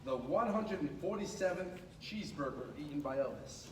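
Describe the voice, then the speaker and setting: A high-voiced man reads a storybook aloud with dramatic pauses and drawn-out emphasis. He is some distance from the mic in an echoey room, with clear noise behind him. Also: lively intonation. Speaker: radio host reading a storybook aloud. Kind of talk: animated read-aloud of a children's picture book.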